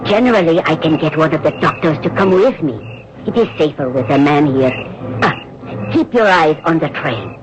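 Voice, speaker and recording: A woman's voice: low voice, lively intonation, moderate pace, crowd noise. An actress playing an earnest French Resistance woman who rescues children, in 1980s radio drama style. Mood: tense, watchful. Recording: dull, very noisy old radio broadcast.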